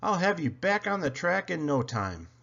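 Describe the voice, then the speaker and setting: Man playing a kind, earnest storybook engine, raised pitch. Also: sing-song, normal speed, creaky, husky, muffled recording, exaggerated voice. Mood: reassuring, determined. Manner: earnest, calling out brightly. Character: kind, concerned male engine, earnest loyal rescuer. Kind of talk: children's storybook read-aloud character voice.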